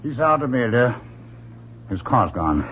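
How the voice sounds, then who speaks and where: A man's voice: mid-range voice, sing-song, a little slow. An actor playing a dry, skeptical middle-aged father in an old-time radio drama, wry and probing. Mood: grave, skeptical. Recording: dull, lightly noisy old radio recording.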